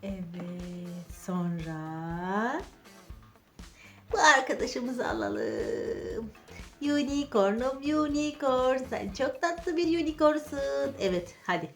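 Children's host, female: high, lively, unhurried, playful sing-song toy narration with music underneath. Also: creaky. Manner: sing-song encouragement, talking to toys.

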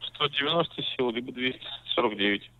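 A man's voice: mid-range voice, fairly quick. A man working as a used-car salesman, answering in a patient, businesslike way that turns mildly argumentative. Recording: tinny phone line.

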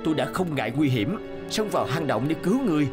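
A scheming cartoon palace guard. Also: male voice, a little high, sing-song, quick pace. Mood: feigned concern. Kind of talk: cartoon fairy-tale acting. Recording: music under the voice.

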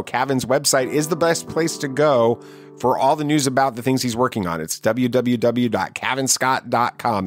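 A man's voice: medium pitch, no echo, studio quality. A man hosting a podcast, talking to listeners in an interview and sign-off style. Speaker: podcast host.

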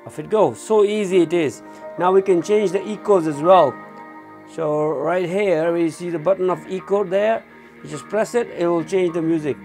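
A man with a slightly high voice and plenty of vocal fry talks through a product in a small room. He is casual and unscripted, often repeating himself to explain. Faint music can sit behind him. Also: normal speed, darkish tone. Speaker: product reviewer. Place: small indoor room at a desk.

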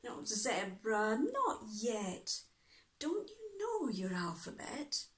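A woman's voice: on the high side, wildly swooping pitch, slow pace, slight background noise, full character voice. A woman reading a picture book as a bossy, exasperated little girl, firm and emphatic in her scolding. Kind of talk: picture-book read-aloud.

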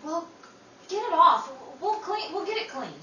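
A protective, exasperated older sister in a vintage radio play, acted by a woman, speaks high with swooping, theatrical intonation. She is heard from a distance in a roomy space with faint noise. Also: sing-song, unhurried, sharp tone, muffled recording, full character voice. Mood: alarmed, scolding. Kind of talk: old time radio drama acting.

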